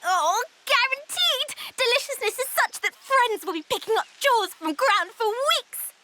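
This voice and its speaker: A woman voicing a gluttonous, excitable small female creature in a video game, melodramatic and speaking in broken third person. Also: very high voice, a little slow, heavily acted. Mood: ecstatic.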